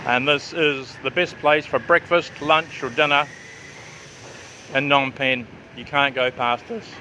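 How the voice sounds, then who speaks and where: A man's voice: mid-range voice, fairly even intonation, a little slow, bright, forceful tone, slight background noise, low-quality recording. A man from New Zealand with a New Zealand and Australian accent, chatting casually through a restaurant tour as a travel vlogger, repeating himself for emphasis. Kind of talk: casual restaurant walkthrough vlog. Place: outdoor riverside cafe with traffic nearby.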